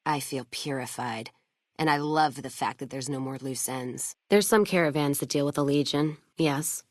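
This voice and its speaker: Low-voiced, tough wasteland trader woman. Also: moderate pace, no room sound, studio quality. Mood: grimly satisfied, then matter-of-fact. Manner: blunt, folksy, wry drawl of plain talk. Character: tough, foul-mouthed, vengeful female wasteland caravan trader. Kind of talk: video game dialogue acting.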